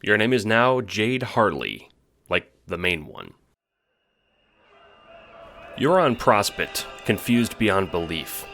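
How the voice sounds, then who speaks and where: A man reads dramatic audio drama narration in a very low register. His delivery is bright and forceful, telling a scripted story over soft background music. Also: very animated, takes it slowly, pauses often.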